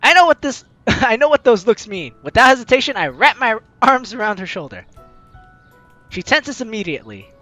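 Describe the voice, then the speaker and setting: A woman's voice: a little high, big pitch swings, normal speed, sharp tone, no room sound, soft background music. A young woman reading a visual novel aloud as a gaming host, with theatrical, teasing asides. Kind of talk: animated let's-play read-aloud and commentary.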